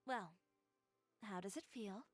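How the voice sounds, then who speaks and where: An actress playing a confident, frank young fashion designer in a video game, speaking in a composed, wry way. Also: female voice, mid-range voice, slow pace, faint music behind.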